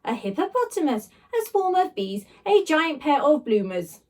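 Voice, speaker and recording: Storybook slime monster, played by a woman: posh, booming, playful grandee, high and very animated. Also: normal speed. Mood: grand, eager. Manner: grand, theatrical, exclamatory. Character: huge blobby slime creature, posh, booming, playful, dramatic.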